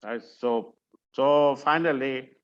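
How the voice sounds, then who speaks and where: An older man from Pakistan, a retired museum director, introducing himself slowly on a video call with many pauses and fillers. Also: medium pitch, clear, resonant tone. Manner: slow and halting, many fillers and restarts. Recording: muffled video call audio.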